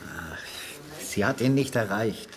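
Grave, low-voiced police detective. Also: male voice, expressive, slight background noise. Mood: subdued, matter-of-fact. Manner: measured, sombre, careful. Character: grave, experienced police detective who delivers death notices. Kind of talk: literary radio drama narration and dialogue.

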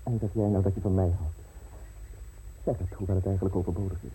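An actor playing a charming, persuasive young secretary in an old radio drama, wooing with a theatrical delivery. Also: male voice, sits low, steady tone, fast, mellow, a little hiss or hum, lightly acted, poor audio. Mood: tender. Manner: smooth, courting, theatrical delivery. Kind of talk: 1950s radio drama acting.

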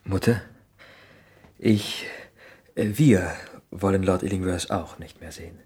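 An actor playing a modest, devoted young son in a 1960s German radio play, hesitant, on a noisy old recording. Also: male voice, low voice, measured pace, darkish tone, husky, full character voice. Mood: modest, gentle. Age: young adult.

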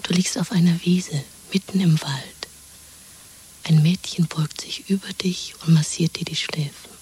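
An actress playing a tender young woman who coaxes in a hushed, breathy whisper, in a 1970s radio drama. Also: on the low side, steady tone, measured pace, slight background noise, close to an everyday voice, low-quality recording. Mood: soothing, hypnotic. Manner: hushed, coaxing, hypnotic. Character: tender, soothing young woman, a secret lover.

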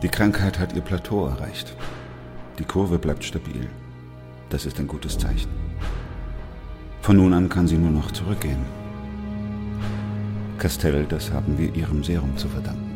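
Very deep, sober doctor-narrator. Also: male voice, normal speed, pauses often, over music. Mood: grave, composed. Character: grave, composed male doctor narrating a plague chronicle. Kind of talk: literary radio play narration.

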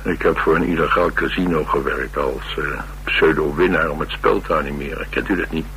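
An actor playing a rough, hard-drinking drifter and former mechanic in a radio drama, speaking tersely with dry, slangy nonchalance. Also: male voice, extremely low pitch, steady tone, brisk, bright, forceful tone, exaggerated voice, rough recording. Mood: wry, nonchalant. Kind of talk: naturalistic Dutch radio drama acting. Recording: radio recording with background hiss and hum.

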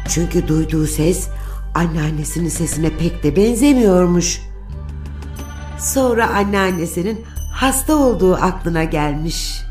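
Female storyteller, unhurried, mid-pitched, children's fairy tale narration, faint music behind. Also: expressive, a little mellow.

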